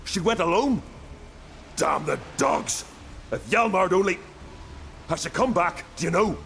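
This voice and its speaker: An actor playing a loyal, hot-headed young island warrior in a video game, with an earnest, colloquial way of telling a tale. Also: male voice, sits high, animated, a little slow, creaky, a bit bright, a little hiss or hum, exaggerated voice. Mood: alarmed, indignant. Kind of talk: dramatic video game voice acting.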